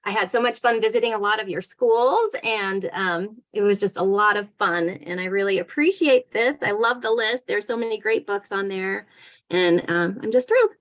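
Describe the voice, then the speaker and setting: A woman's voice: mid-range voice, normal speed, a bit bright. A woman, a children's author, giving informal, enthusiastic thanks. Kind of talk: informal thank-you remarks.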